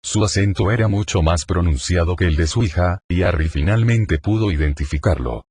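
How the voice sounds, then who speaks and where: A man narrating a fan fiction audiobook in a steady, plain storytelling manner. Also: low-pitched, a little fast.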